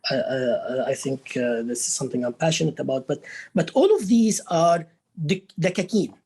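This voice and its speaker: A man's voice: on the high side, normal speed, gravelly, husky. A man with a Lebanese Beirut accent speaking as a guest professor on a conference panel, repeating words and often tagging his points with "right?". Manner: animated, repeats words, frequent tag "right?". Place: conference stage.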